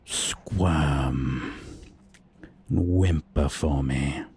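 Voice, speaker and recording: Man playing a very deep, slow sadistic vampire. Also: darkish tone, slight background noise, heavily acted. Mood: seductive, taunting. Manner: slow, menacing, breathy taunting. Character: sadistic, seductive male vampire, cruel and taunting. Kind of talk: ASMR roleplay acting.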